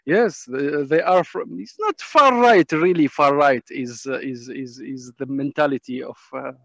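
A man who is a non-native English speaker gives informal testimony as a panel speaker, haltingly searching for words in broken English on a muffled video call. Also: medium pitch, gravelly, fairly bright tone, no echo. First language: not English. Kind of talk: informal spoken testimony in a webinar. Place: on a video call from a dim space.

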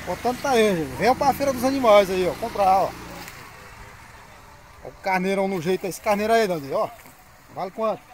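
A man hosts a casual vlog from an outdoor animal market, speaking straight to his viewers in a high voice. He is recorded on a phone, with clear outdoor noise around him. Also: expressive, a little fast, long pauses. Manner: chatty, addresses viewers directly. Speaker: channel host.

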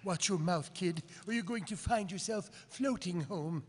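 A man's voice: high voice, moderate pace, husky. A man voicing a brash, hammy cartoon space smuggler in a live comic script reading. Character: swaggering high-voiced cartoon take on a macho space smuggler pilot. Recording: echoey convention hall PA.

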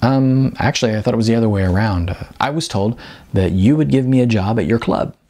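A man's voice: mid-range voice, brisk, mellow, lightly acted. Guy doing an eager young musician begging for a job, earnest n hesitant, audiobook style. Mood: awkward. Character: eager, hopeful young male musician seeking work.

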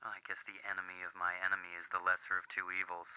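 Deep, brooding game fugitive. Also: male voice, completely flat delivery, fast talker, pushed and bright, clean tone, no room sound, rough recording. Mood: guarded. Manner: terse, grave, guarded. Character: wary, brooding male scientist on the run. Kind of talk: video game dialogue acting.

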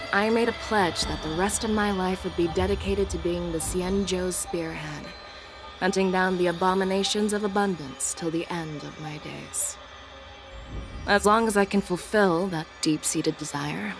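A bold female general in a video game. Her voice is on the low side, resolute and assured, with clear music behind it. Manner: assured, declarative.

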